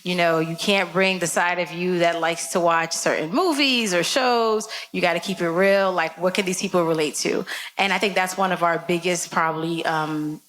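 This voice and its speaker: A woman speaking casually and candidly as a guest on a live conference panel, acting out bits of dialogue as she talks. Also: lowish voice. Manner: candid, animated, acts out quoted dialogue. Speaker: panel guest who works in media. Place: conference stage with live audience. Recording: clear background noise of live room.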